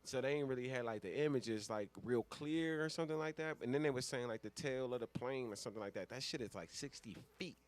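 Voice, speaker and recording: A man's voice: mid-range voice, fast talker, few pauses. Casting: American male co-host for a casual live radio talk show, slangy and foul-mouthed. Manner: slangy, heavy casual swearing.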